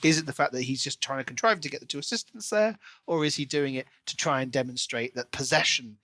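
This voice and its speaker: A man's voice: mid-range voice, quick pace, sharp tone, dry recording. A man co-hosting a podcast, talking casually and analytically through his thoughts on a book.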